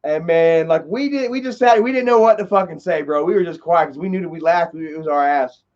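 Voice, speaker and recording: A man's voice: fairly high pitch, a little fast. A man in his thirties telling a long, profane, rambling story on a casual livestream. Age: 30s. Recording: dull lo-fi stream audio.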